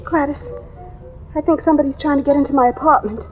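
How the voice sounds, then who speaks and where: An actress playing an excitable, gossipy young New York waitress in an old-time radio drama. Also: female voice, sits high, fast talker, narrow, tinny audio, lightly acted. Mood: nervous, frightened. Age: young adult. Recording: thin old radio recording, music behind.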